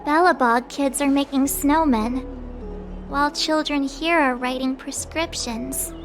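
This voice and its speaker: A woman voicing a perky, outspoken teenage girl traveler in a video game, chatty, candid and expressive. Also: sits high, measured pace, music in the background. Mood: puzzled, wry.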